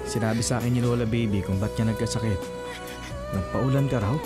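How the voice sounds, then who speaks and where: An actor playing a polite, caring young man in a Filipino radio drama, gentle and earnest. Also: on the low side, steady tone, a little fast, lightly acted. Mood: tender, earnest. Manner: gentle, courteous, solicitous. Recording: music bed behind voice.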